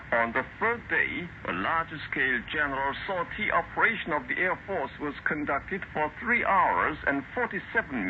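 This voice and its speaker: A man reading a formal scripted news bulletin in a solemn, deliberate, declarative way as a radio announcer, heard over shortwave radio. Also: a little high. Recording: muffled, noisy shortwave radio broadcast.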